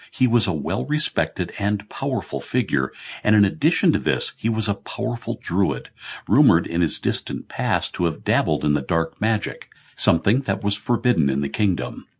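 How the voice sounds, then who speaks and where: Deep, lively male audiobook narrator. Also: slightly rough. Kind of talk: scripted narration of comic fantasy fiction.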